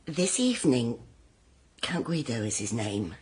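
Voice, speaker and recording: A woman's voice: extremely low pitch, big pitch swings, unhurried, a little mellow, husky, heavily acted. A woman playing a scheming, bossy mother in a radio drama, speaking in an urgent, conspiratorial manner. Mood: secretive, coaxing. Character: scheming, bossy mother hustling her young daughter.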